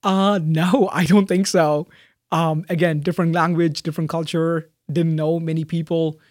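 Young man, student interviewee, higher-pitched, thoughtful with many fillers, dry close sound. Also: flat delivery, normal speed, no echo. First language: Malayalam. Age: young adult. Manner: thoughtful, soft-spoken with fillers and restarts. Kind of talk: casual interview answers.

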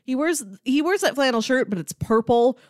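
A woman talking casually as a podcast host, animated and peppering her sentences with "like". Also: high-pitched, moderate pace, no room sound, clean studio sound. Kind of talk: casual chat about comics.

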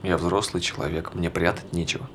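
An ordinary tired man in a naturalistic stage play. His low voice has a heavy creak. He keeps his answers short, understated and guarded. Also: a little fast, fairly bright tone, slight background noise. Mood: guarded, subdued. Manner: terse, understated replies.

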